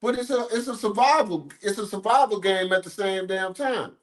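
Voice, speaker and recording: A man's voice: high voice, moderate pace. A man co-hosting a casual podcast, arguing his point and checking if others follow. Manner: argues his point, keeps checking "you see what I'm saying". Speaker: podcast co-host.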